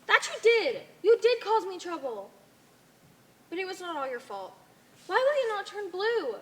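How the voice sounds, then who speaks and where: A woman's voice: extremely high pitch, big pitch swings, normal speed, a bit bright, full character voice. A woman on stage playing a naive, obedient cult follower who speaks in formal, puzzled phrases. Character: naive, obedient member of a blue cult people, easily confused. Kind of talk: stage play acting. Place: theatre stage. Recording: echoey stage hall recording.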